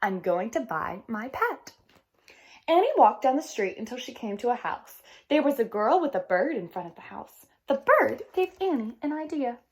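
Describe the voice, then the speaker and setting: A woman's voice: high-pitched, sing-song, a little fast, few pauses, darkish tone, slightly rough. A woman reading a picture book aloud expressively as a teacher, speaking directly to young listeners.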